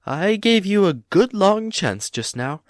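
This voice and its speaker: A jumpy little hobbit as a man reading aloud puts him on speaks in a voice lifted well above the reader's own, strained and loud. It sounds close and dry. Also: high voice, big pitch swings, measured pace, dry recording, pitched above the performer's own voice. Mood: nervous. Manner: raised, strained, nervous voice. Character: small nervous hobbit, male, jumpy. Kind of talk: storybook reading.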